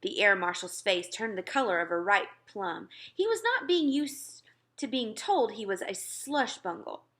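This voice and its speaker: A woman reading a children's storybook aloud in an expressive narrating style. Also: mid-range voice, measured pace. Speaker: storybook reader.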